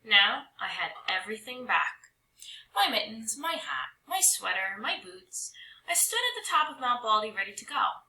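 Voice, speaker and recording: A woman narrates a children's story with lots of drama. Her voice is very bright and swoops expressively in pitch, and the room adds a clear echo. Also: big pitch swings, normal speed. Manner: dramatic, with stretched words and hushed drops. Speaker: storybook reader. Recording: roomy echo.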